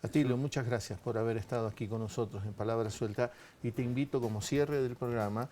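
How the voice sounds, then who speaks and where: A man hosting a conversational television interview in a measured, courteous manner with hesitant phrasing. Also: medium pitch, steady tone, mellow.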